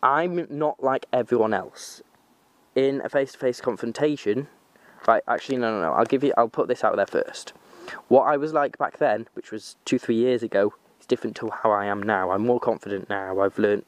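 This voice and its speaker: A young man with a slight Manchester accent talks to camera outdoors, his pitch sitting in the middle range. He rambles in an informal way and keeps correcting himself as he goes. The style is a loose, casual vlog recorded on a handheld camera. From North Wales. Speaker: vlogger.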